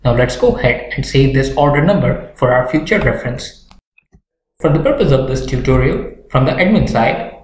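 A young man presenting a software tutorial in a scripted narration style. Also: medium pitch. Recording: roomy echo with background noise, clicks.

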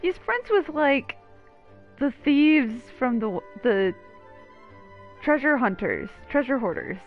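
A woman's voice: high-pitched, very animated, takes it slowly, muffled recording. A woman streamer giving casual, joking commentary as she plays a game. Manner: joking, chatty. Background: game music.

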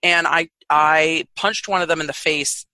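Woman, 30s, low-voiced podcast guest; candid, lively, bright timbre, dry close mic. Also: measured pace, dry recording. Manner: open, frank, lively. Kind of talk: candid conversational storytelling.

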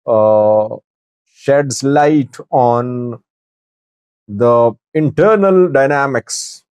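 A man in his forties giving news commentary to camera in a deliberate, halting way, pausing mid-sentence. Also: medium pitch, darkish tone, no echo. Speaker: news commentator, channel host. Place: small wood-panelled office, indoors.

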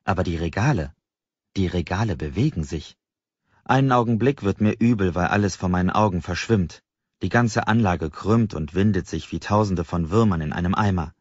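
Deep-voiced male audiobook narrator. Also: normal speed, slightly rough, dry recording, highs cut off. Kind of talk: scripted first-person novel narration.

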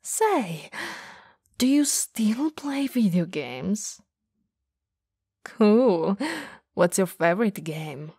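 A woman acting a friend's warm, teasing goth older sister in an intimate, soft-spoken ASMR roleplay, sometimes slipping toward a whisper. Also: mid-range voice, lively intonation, drawn-out words, long pauses, husky, no room sound, clean studio sound, exaggerated voice. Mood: fond, teasing. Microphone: close studio microphone.

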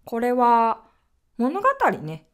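Woman playing a bossy, animated, teasing female spirit. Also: on the high side, drawn-out words, full character voice. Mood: curious. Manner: blunt, teasing, imperious. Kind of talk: radio drama acting.

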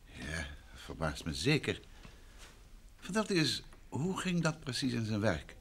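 A man acting a self-assured gentleman detective in an old Dutch radio drama, with a brisk, questioning manner. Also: mid-range voice, wildly swooping pitch, heavily acted. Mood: probing. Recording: noisy vintage radio drama recording.

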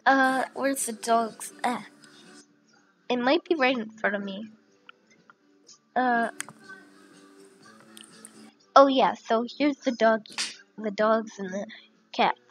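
A woman's voice: a little high, slow pace, pauses often, faint music behind, rough recording. A young woman who is sick and sounds a little off, chatting casually with many fillers as she walks through a screen recording. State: sick, voice a bit off. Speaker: channel host.

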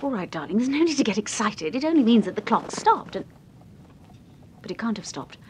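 An actress with a British accent playing a detective's affectionate, inquisitive wife in an old radio drama. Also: female voice, on the high side, very animated, brisk, a little mellow. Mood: puzzled. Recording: faint noise, old radio recording.

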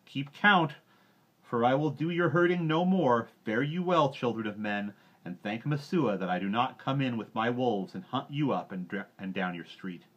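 A man's voice: low voice, steady tone, moderate pace, husky, dull audio. A man voicing a proud, scornful boy raised by wolves in a storybook, bold and commanding in archaic phrasing.

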